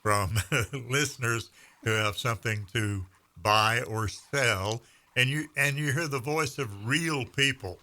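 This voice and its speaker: A man, telling stories in casual conversation with measured pauses. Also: mid-range voice, sharp tone. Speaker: veteran radio broadcaster. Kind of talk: casual storytelling chat.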